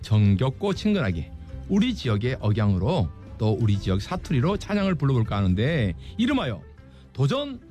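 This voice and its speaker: A male pastor guesting on a festive radio broadcast, with a mid-pitched voice that swings widely in pitch as he jokes. He talks in a chuckling, playful way with the hosts. Faint music plays beneath. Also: huge pitch swings, normal speed, darkish tone. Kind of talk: friendly radio banter.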